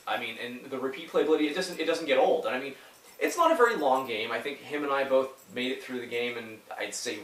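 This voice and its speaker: A man in his thirties chatting casually about his favorite old games, stuffy from a head cold. He rambles, trails off and starts his sentences over, his voice landing at a middle pitch. He sounds a little off mic, in a room with a noticeable echo. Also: a bit bright, distant mic. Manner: rambling, full of fillers and restarts. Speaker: YouTuber reviewing retro games. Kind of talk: casual talk to camera.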